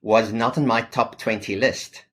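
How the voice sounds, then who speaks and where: Middle-aged man, measured and hesitant, interview guest. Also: mid-range voice, fairly even intonation, a bit bright. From South Africa. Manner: thoughtful, hesitant with fillers. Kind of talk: podcast interview.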